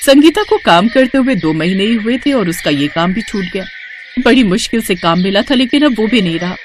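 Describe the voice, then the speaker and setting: A woman's voice: mid-range voice, expressive, brisk, background noise. A woman reading a scripted moral story as a storyteller. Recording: music under the voice. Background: background music.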